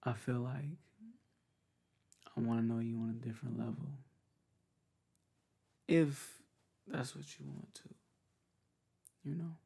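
Young guy doing asmr roleplay, soft creaky husky voice, laid back n hesitant. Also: medium pitch. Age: young adult. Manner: hesitant, halting, laid-back pillow talk. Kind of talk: ASMR roleplay, intimate soft casual talk. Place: small bedroom, lying on a bed.

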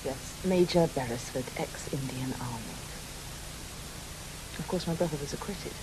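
An actress playing a haunted, reclusive lady medium in a radio drama, grave and intense. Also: extremely low pitch. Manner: grave, dramatic, deliberate phrasing. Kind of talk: studio radio drama acting. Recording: hissy background noise.

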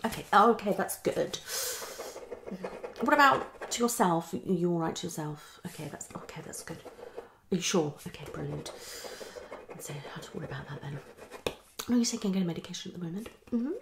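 A woman's voice: on the low side, animated, a little fast, vocal fry, pauses often, noisy background. A woman in her thirties with a British accent acts out a psychologist in an ASMR roleplay, asking questions theatrically with murmured acknowledgements. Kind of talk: ASMR roleplay, one-sided consultation. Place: small living room at home, indoors.

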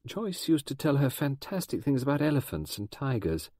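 A man doing a vague, soft-spoken mother. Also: mid-range voice, very animated, moderate pace, husky, dry recording, clean studio sound, voice pushed up. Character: vague, gentle middle-aged mother.

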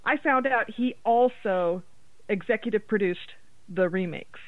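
A woman's voice: mid-range voice, a little slow. A woman co-hosting a podcast, chatting casually and informally about horror films. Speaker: podcast co-host. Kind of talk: casual horror film chat. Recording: tinny phone-like line, roomy echo.

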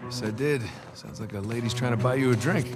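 A man acting an easygoing thief from an adventure game. His voice is mid-pitched and casual, given to joking asides. Loud music plays behind him. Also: a little fast, lightly acted. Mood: casual, curious.

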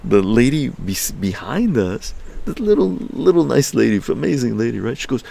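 An older man from Canada, livestreaming from his outdoor patio, telling a story in an animated, laughing way with crude language. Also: moderate pace, creaky. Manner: animated, laughing, swearing, acts out quotes in higher voices. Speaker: livestream host. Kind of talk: casual storytelling to a live chat.

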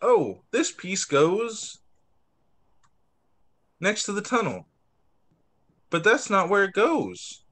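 A man voicing an eager little boy playing with toy trains in a picture book, excited and simple in his delivery. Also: sits high, slow pace, long pauses, heavily acted. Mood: excited, bossy.

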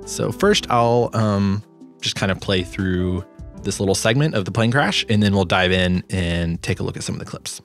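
A man in his twenties talking casually through his sound design work, relaxed and self-deprecating, close to the microphone. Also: sits low, normal speed, soft background music. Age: 20s. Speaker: sound designer hosting a tutorial. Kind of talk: casual screen-share walkthrough. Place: small home studio room. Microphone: close boom-arm microphone.

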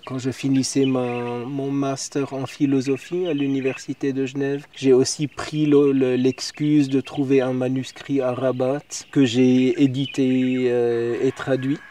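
A man's voice: medium pitch, warm tone, recorded from a distance, slight background noise. A man in his late fifties from Lebanon and Syria, telling his story conversationally, searching and repeating words as he goes. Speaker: interview guest, entrepreneur. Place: outdoors in a garden. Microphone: clip-on wireless lapel mic.

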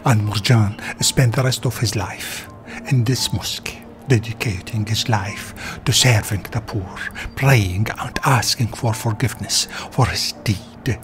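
A man's fairly low voice carries a scripted history documentary. He narrates as if from a prepared text, with music playing faintly underneath. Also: measured pace. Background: background music.

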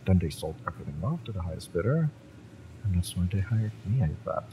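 A man's voice: low voice, big pitch swings, quick pace, noisy background. A man playing a cocky, fiery young cyberpunk mercenary in an audio roleplay, smug and menacing as he taunts. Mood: bitter, low. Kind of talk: dramatic audio roleplay, intimate villain-romance acting.

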